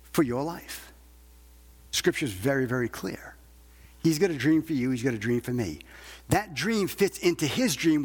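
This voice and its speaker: Male evangelical preacher, rough-edged voice, emphatic and repetitive sermon delivery, big echoing hall. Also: on the high side. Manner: emphatic, repeats phrases with rhetorical questions. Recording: long hall reverb.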